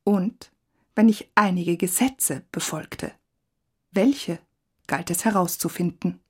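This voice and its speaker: A woman's voice: on the low side, takes it slowly, a little hiss or hum. A woman reading scripted first-person narration in a composed storytelling manner.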